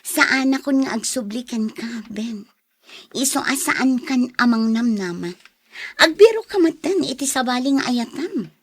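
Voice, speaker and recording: A woman's voice: sits high, lively intonation, measured pace, no room sound, studio quality, heavily acted. An actress with a Filipino accent playing a resolute young woman leaving her lover, with dramatic radio drama delivery. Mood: firm, dismissive.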